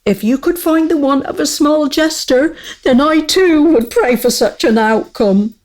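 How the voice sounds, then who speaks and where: Woman acting a cheeky little female jester, higher voice. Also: unhurried, a little mellow. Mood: wry. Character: small young female court jester, cheeky and aggrieved. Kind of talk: comic historical radio play acting.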